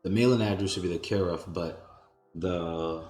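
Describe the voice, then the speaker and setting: A man hosting a conference call, answering a caller's questions in a measured, explanatory way with some restarts. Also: sits low, a little mellow, reverberant, faint music behind.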